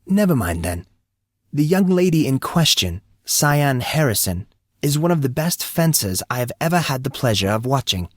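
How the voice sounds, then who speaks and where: A man's voice: mid-range voice, sing-song, moderate pace, no room sound, studio quality, voice pushed up. A man voicing an amused, courteous school employee who speaks formally and precisely, patiently explaining. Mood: calm, amused.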